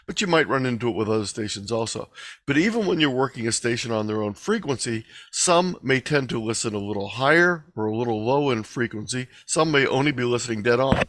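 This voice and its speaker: Man, medium pitch, webinar presenter, measured explanatory delivery, deliberate phrasing, dry close sound. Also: fairly bright tone, no room sound. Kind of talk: instructional webinar lecture with slides. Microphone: video call microphone.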